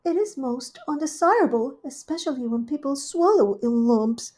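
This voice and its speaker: A woman voicing a prim, genteel female cat hostess in a storybook reading, high and polite. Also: expressive, normal speed, darkish tone.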